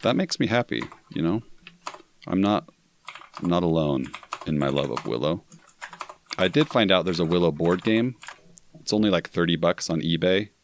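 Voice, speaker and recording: A man's voice: low-pitched, expressive, moderate pace, pauses often, slight background noise. A man guesting on a casual podcast, easygoing and enthusiastic as he tells stories.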